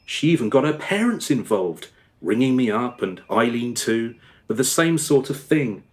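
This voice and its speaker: A male actor plays a guilt-ridden married father confessing to his daughter in a radio drama. His mid-pitched voice swings up and down expressively as he rambles through every detail, hesitating as he remembers. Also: big pitch swings, moderate pace, lightly acted. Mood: uneasy, bitter. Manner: rambling, over-descriptive, hesitant reminiscing. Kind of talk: radio play dialogue, storytelling monologue.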